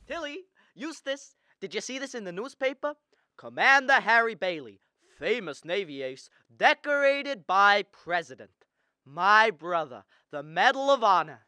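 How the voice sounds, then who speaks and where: A young man playing an earnest, excitable, self-doubting small-town husband with halting speech in a student radio drama. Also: high-pitched, smooth, no echo, studio quality, heavily acted. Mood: proud, excited. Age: young adult. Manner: halting, stammering.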